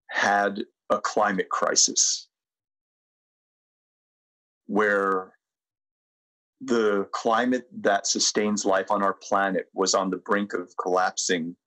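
A middle-aged American man speaking reflectively as a podcast guest, measured and deliberate, with pauses. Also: low-pitched, fairly bright tone, low-quality recording. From United States. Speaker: podcast guest, sustainability consultant. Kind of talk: reflective interview talk and guided presentation.

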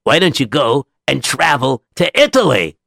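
A man's voice: mid-range voice, very animated, moderate pace, gravelly, fairly bright tone, dry recording, studio quality, heavily acted. A man voicing a blunt, incredulous doubter in a story. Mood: skeptical. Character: skeptical, grumbling doubter challenging the storyteller.

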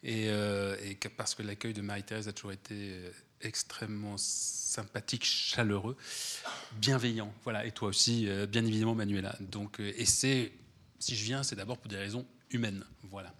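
A man who has a cold, a guest author talking in a rambling, animated way, with many restarts, before an audience at an event. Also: fairly low pitch, normal speed. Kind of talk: relaxed conversational talk at a public event.

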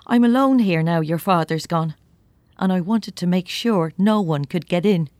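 A woman's voice: lowish voice, moderate pace, a little mellow, dry recording, lightly acted. A woman plays a middle-aged mother, recently widowed and bitter. She talks in a curt, sarcastic, defensive way, in naturalistic radio drama style. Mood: defensive, startled. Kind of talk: naturalistic audio drama acting.

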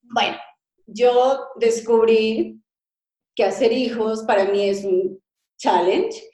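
A middle-aged woman born in Colombia, a cake designer, telling her story in a lively conversational way and acting out little dialogues. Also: a little high, slow, roomy sound, low-quality recording. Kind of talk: conversational interview.

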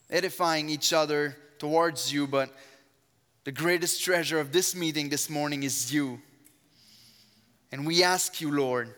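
A man's voice: a little high, bright, forceful tone. A man with a strong French accent, whose first language is French, preaching a sermon in a church and praying fervently with earnest emphasis. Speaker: guest preacher. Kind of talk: sermon with opening prayer. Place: church sanctuary. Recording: long hall reverb of a church.

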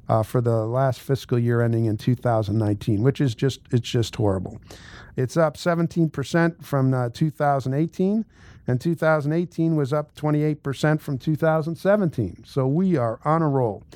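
A man's voice: mid-range voice, a little mellow, no room sound. An American man in his sixties giving informal explanatory financial commentary, blunt and emphatic, repeating phrases for stress. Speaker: host, tax and accounting adviser.

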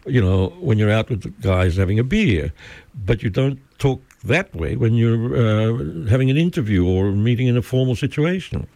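Older Mexican-accented man, guest, animated intonation, dry close sound, searching and thoughtful. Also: mid-range voice, no room sound. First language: Spanish. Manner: thoughtful, searching for words, laughs often. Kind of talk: conversational interview.

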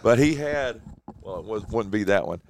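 Rambling Southern radio host. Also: male voice, fairly low pitch, lively intonation, moderate pace, no echo. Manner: rambling, chatty, lots of uh fillers. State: voice deeper and rough from yelling the night before. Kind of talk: casual morning show chat. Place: small home radio studio. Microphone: studio mic with headphones.

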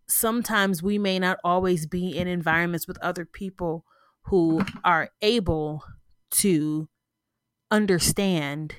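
A woman's voice: fairly low pitch, fairly bright tone, smooth, dry recording, clean studio sound. A woman hosting her own podcast, talking casually and informally with a stuffy, congested voice, often restarting and checking in with "right?". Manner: rambling, informal, frequent "right?" asides and self-restarts. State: congested, sniffling. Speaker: podcast host. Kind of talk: casual solo podcast talk. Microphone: close podcast mic.

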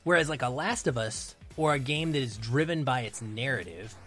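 A man's voice: mid-range voice, expressive, moderate pace, a bit bright, soft background music. Analytical man discussing films. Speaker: podcast guest, film critic. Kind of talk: casual film discussion.

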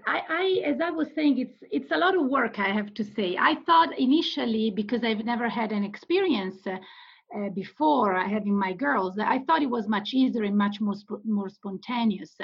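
Husky-voiced Italian woman, hesitant. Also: on the high side, expressive, normal speed, dull audio. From Italy. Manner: hesitant, many fillers and restarts. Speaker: guest, Italian professor. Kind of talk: podcast interview, answering questions.

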